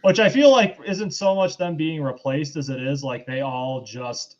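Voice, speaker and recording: Man with dull lo-fi sound, halting delivery. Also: fairly high pitch, darkish tone. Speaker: podcast host. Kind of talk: casual film review discussion.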